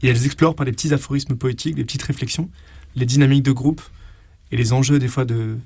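A man's voice: mid-range voice, fairly even intonation, quick pace, darkish tone, husky, no room sound. A man speaking conversationally as an interviewed publisher, explaining things in an articulate way.

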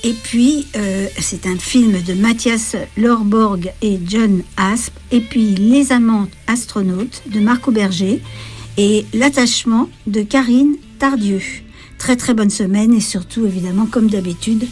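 A woman's voice: medium pitch, a little slow, darkish tone, slightly rough, soft background music. A woman talking conversationally as the host of a radio show.

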